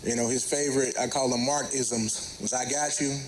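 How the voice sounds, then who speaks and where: Mid-pitched man giving a tribute. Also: fairly even intonation, moderate pace, slight background noise, poor audio. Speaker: speaker giving a remembrance. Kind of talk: fond anecdotal tribute.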